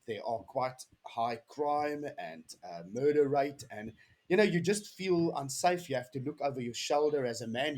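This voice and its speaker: South African man, 30s, husky voice; podcast guest, earnest and rambling, restarts often. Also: medium pitch, darkish tone. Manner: rambling, earnest, with many restarts and "you know". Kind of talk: casual interview chat.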